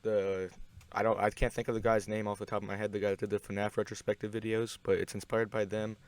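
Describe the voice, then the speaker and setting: A man with a fairly low-pitched voice talks through a casual video essay. His delivery is offhand and rambling, with deadpan joking in the way he sums things up. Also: fast, clean tone. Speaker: video host.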